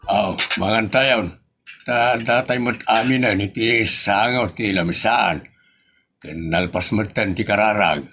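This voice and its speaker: A man's voice: fairly low pitch, unhurried, fairly bright tone. A man with an Ilocano accent playing a weary, grumbling husband and father in a comedy audio drama. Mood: resigned.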